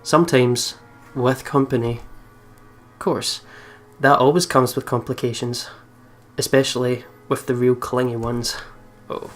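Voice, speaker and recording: A man's voice: medium pitch, fairly even intonation, unhurried, fairly bright tone. Man doing gaming commentary over music. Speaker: let's play host reading game text aloud. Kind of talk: casual gaming commentary and story reading. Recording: game music behind the voice. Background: visual novel game music.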